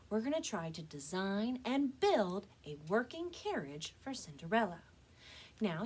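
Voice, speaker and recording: A woman presenting a step-by-step craft demonstration for children as a librarian, speaking to her young viewers in a friendly, direct way. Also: on the high side.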